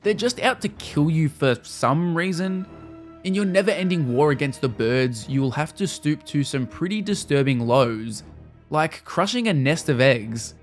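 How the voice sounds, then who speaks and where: A man's voice: fairly high pitch, expressive, a little slow, faint music behind. A man narrating a scripted video game review in a casual, wry manner with the odd mild swear.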